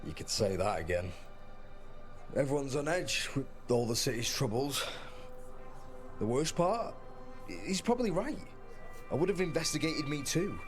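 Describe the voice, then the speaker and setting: An actor playing a guarded, self-deprecating soldier turned clerk in a video game, with a wry, understated delivery. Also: male voice, medium pitch, expressive, moderate pace, pauses often, soft background music, lightly acted. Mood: wry, tense.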